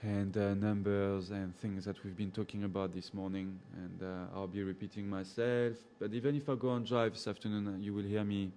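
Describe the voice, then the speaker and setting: Low-voiced man with a French accent. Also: fairly quick, hardly any pauses, a little hiss or hum. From French-speaking. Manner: relaxed and chatty, laughs often, answers viewers by name. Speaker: safari guide presenting a live chat. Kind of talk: casual live chat reading viewer comments.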